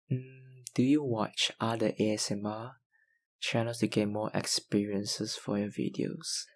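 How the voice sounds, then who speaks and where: A young man, an ASMR YouTuber, chatting casually through viewer questions, hesitant with many fillers and soft laughs. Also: on the low side, level tone, slow, pauses often. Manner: hesitant, lots of ums and likes, soft laughs. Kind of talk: casual Q&A chat.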